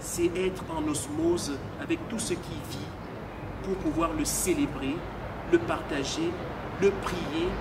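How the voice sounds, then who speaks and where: A middle-aged man, a priest, giving a slow, earnest and meditative personal testimony outdoors by a cathedral forecourt. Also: fairly high pitch, distant mic, very noisy.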